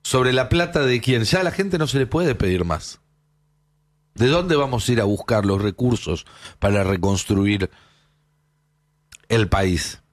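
A man's voice: medium pitch, lively intonation, measured pace, long pauses. A man with an Argentine accent hosting a political news show, giving opinionated commentary in an emphatic way with rhetorical questions.

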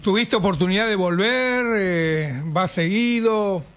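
A man, a radio host asking questions in a casual, friendly and relaxed way. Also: high-pitched, a little slow.

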